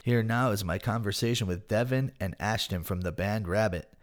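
Male podcast host, lowish voice, upbeat and chatty with playful asides. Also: normal speed, faint music behind. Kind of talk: casual podcast chat and segment narration.

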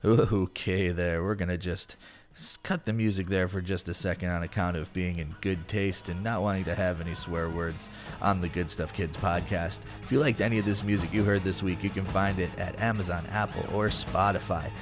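Upbeat low-voiced podcast host. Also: male voice, fairly even intonation, normal speed, music in the background. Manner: upbeat, chatty, playful asides. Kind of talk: casual podcast chat and segment narration.

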